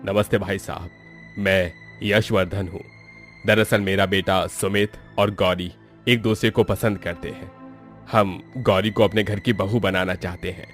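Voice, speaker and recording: A man's voice: low voice, fairly even intonation, measured pace, a bit bright, faint music behind. A man voicing a rich middle-aged father in a cartoon story, firm, reasonable and courteous. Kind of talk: Hindi cartoon story acting.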